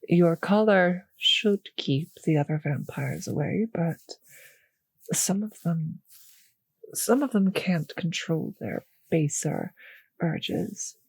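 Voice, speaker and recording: An actress playing a possessive, condescending vampire mistress in an intimate roleplay audio drama, speaking in a breathy, husky, patronizing, savouring way. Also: female voice, fairly low pitch, slow pace, no echo, full character voice. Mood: sly, doting.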